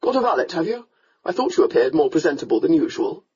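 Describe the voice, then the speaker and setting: A gruff, domineering old earl who clips his words short and waves things off, as a narrator puts him on for a storybook. His voice sits low, mellow and husky. A slightly dull, lo-fi recording. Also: sing-song, fast. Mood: curt. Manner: curt, gruff, dismissive. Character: stern, domineering older male earl, a father.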